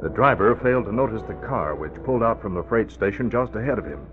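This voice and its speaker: Male radio-drama narrator, lowish voice, measured delivery, thin old broadcast, music behind. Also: lively intonation, phone-quality sound.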